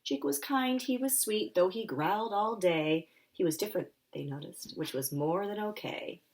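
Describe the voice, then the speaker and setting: A woman, an author, reading her children's picture book aloud and talking it through for a young audience, with expressive delivery. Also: medium pitch, moderate pace. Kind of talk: live children's story time reading and friendly explanation.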